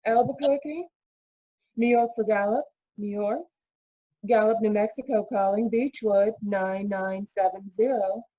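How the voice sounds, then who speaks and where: A woman's voice: mid-range voice, fairly even intonation, measured pace, dark tone, close to an everyday voice. An actress playing an impersonal long-distance telephone operator in an old-style radio drama, reciting in a formulaic switchboard manner. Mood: businesslike. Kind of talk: 1940s radio drama acting.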